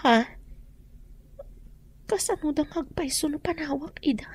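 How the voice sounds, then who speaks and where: A woman acting as a wary, dutiful and soft-hearted young unmarried elder sister in an Ilocano radio drama, with earnest, expressive delivery. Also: high-pitched, a little slow. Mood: puzzled, concerned.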